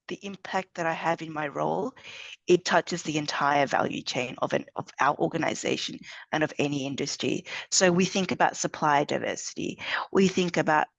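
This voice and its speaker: A middle-aged woman with an Australian accent speaks in a measured, articulate way during a panel discussion. Her voice sits on the lower side, and the sound is dry and close. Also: creaky, no room sound, low-quality recording. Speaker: head of diversity, equity and inclusion. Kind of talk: panel talk.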